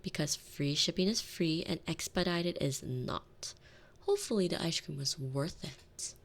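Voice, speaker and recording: A woman's voice: sits low, measured pace. A woman playing a sardonic, thrifty retired villainess turned doting housewife in an ASMR roleplay, with wry, teasing, theatrical delivery. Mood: wry, scheming. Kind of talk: scripted ASMR roleplay audio drama. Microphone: close binaural microphone.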